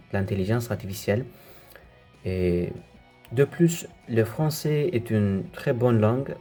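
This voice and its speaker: A young man, a native Turkish speaker, giving a measured prepared answer as a language student, with brief hesitations. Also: on the low side, warm tone. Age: young adult.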